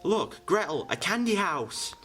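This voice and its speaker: A man's voice: high-pitched, big pitch swings, a little slow, bright, forceful tone, soft background music, exaggerated voice. A man playing a confident, reassuring young boy in a fairy-tale radio drama. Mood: delighted.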